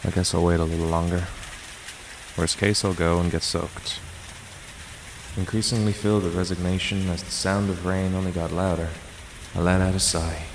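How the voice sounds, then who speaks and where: A man's voice: sits low, little pitch movement, normal speed, background noise. A man playing a weary, aloof math teacher, narrating and talking in a dry, resigned way for a visual novel fandub. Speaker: narrator and lead character. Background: music and rain sounds.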